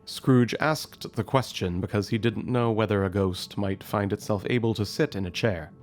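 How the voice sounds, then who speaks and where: An actor as a measured, grave narrator of an audio drama, telling the story with suspense. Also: male voice, fairly low pitch, close to an everyday voice. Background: music.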